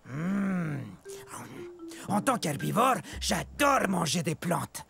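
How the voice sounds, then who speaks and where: An actor playing a gigantic, shy, gentle plant-eating dinosaur in a children's cartoon, talking in a sheepish way with a gravelly voice. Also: male voice, high voice, very animated, exaggerated voice. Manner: slow, sheepish, whispery asides. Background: music.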